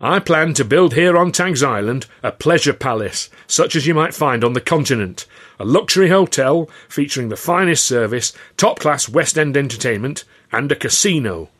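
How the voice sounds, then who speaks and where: A man's voice: medium pitch, animated, normal speed, fairly bright tone, voice pushed up. A man voicing a smug, domineering showman boss with pompous, theatrical speechifying, in an audiobook. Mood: grandiose.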